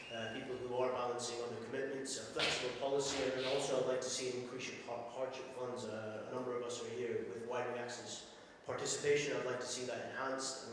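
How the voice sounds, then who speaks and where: A young man, a student candidate, making a fluent, measured argument at a hustings. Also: mid-range voice, noisy background. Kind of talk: campaign answer at a hustings. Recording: echoey hall, mic from far off.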